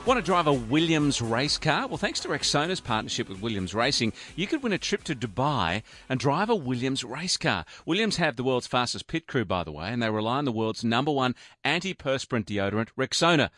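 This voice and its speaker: A man's voice: mid-range voice, very animated, brisk, few pauses, a bit bright, soft background music. A man hosting a radio health show, introducing guests and taking calls in an upbeat presenter's manner. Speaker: radio host.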